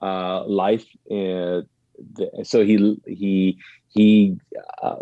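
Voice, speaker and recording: A man's voice: deep voice, flat delivery, very slow, no echo, phone-quality sound. A middle-aged man from Kuwait, a podcast guest telling personal stories conversationally, with asides and self-corrections.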